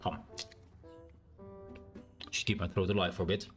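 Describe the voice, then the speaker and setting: Loud-voiced man streaming a game. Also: sits low, little pitch movement, moderate pace, soft background music. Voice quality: naturally loud voice. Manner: rambling, thinks aloud with fillers and self-corrections. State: sore, strained throat. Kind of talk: casual live-stream gameplay commentary.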